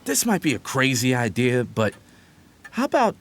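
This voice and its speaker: A man acts out a protective family lawyer in an audio drama. He is earnest and emphatic, reassuring yet insistent. His pitch moves in wide, animated swings. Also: moderate pace.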